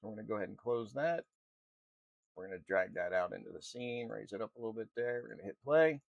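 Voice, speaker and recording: A man presenting an unscripted software tutorial in an informal, halting, step-by-step way. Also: fairly low pitch, vocal fry, highs cut off. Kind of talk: unscripted screen-recorded walkthrough.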